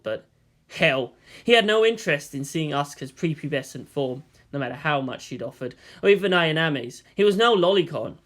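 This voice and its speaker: A man reading fan fiction as an expressive scripted narration. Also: fairly high pitch.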